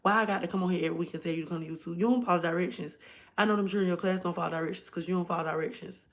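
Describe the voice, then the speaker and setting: A hoarse woman hosting a casual livestream from home, chatting directly to her viewers. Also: on the low side, steady tone, racing. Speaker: livestream host.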